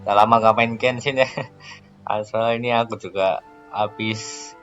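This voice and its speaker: Low-pitched young Indonesian man gaming. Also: steady tone, brisk, a bit bright, muffled recording, soft background music. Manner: informal, reacting aloud with short exclamations. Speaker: gaming video host. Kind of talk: casual let's play commentary.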